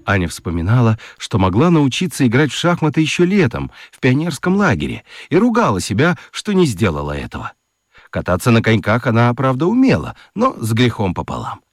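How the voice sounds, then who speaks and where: A man narrating a children's radio story expressively, with theatrical emphasis and dramatic pauses. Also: mid-range voice, wildly swooping pitch, no room sound, studio quality. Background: music.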